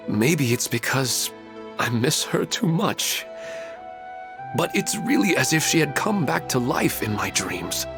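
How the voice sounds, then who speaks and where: An actor playing a melancholy, humble widowed father in an anime game, speaking in a hesitant, wistful way. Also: medium pitch.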